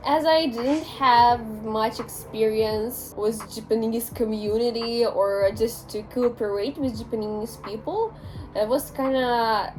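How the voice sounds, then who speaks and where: An eighteen-year-old Ukrainian woman with a Ukrainian accent, answering interview questions in a talkative, animated way, often restarting her sentences. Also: on the high side, a little slow, faint music behind, poor audio. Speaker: interviewee, English teacher.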